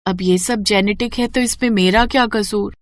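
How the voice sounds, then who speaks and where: A woman's voice: medium pitch, steady tone, moderate pace, dry recording, clean studio sound, lightly acted. A woman voicing a meek young daughter-in-law in a cartoon story, polite and plaintive.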